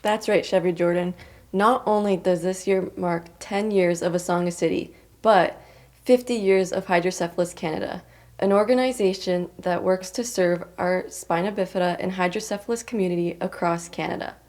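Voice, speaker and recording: Low-voiced woman giving clear information. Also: fairly even intonation, normal speed, darkish tone. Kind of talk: scripted livestream segment.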